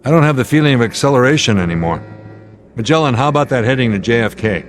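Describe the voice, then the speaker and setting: An actor playing a calm, commanding airline captain in an old-time radio drama style, speaking in clipped sentences. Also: male voice, mid-range voice, fast, lightly acted. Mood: calm, official. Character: steady, authoritative male airline captain. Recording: dramatic music underneath.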